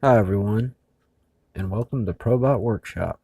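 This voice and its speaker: A man hosting a video in casual narration, speaking haltingly with labored speech after mouth surgery. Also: lowish voice, vocal fry, warm tone, slightly rough, dry recording. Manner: halting, hesitant. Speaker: video host.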